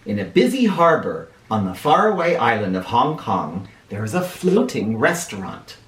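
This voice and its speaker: A man reading a picture book aloud to children in an expressive teacher's manner, pausing to explain. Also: medium pitch. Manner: animated, with explanatory asides. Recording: roomy sound, distant microphone.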